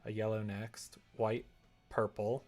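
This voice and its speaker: A man's voice: on the low side, flat delivery, takes it slowly, a little mellow, faint music behind. A man livestreaming casually as he works, chatting and muttering to himself while he concentrates. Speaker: live streamer. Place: indoors at a computer.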